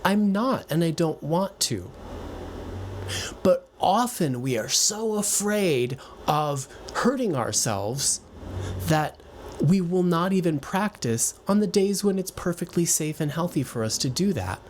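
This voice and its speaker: A man who teaches voice gives gentle, reassuring advice straight to his viewers in a relaxed, conversational way, now and then restarting a phrase. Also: a little high, measured pace, a little hiss or hum. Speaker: voice and piano teacher. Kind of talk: direct-to-camera advice talk.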